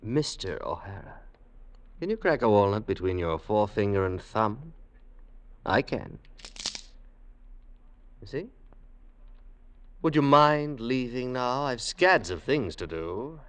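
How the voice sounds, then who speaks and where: Snooty mincing private eye, old time radio, sarcastic, voice goes all over the place. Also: male voice, medium pitch, sing-song, slow pace, pauses often, a bit bright. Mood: coolly dismissive. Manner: mincing, theatrical, drawling sarcasm. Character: affected, catty American male private investigator, vain and sneering. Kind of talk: 1940s-50s radio acting.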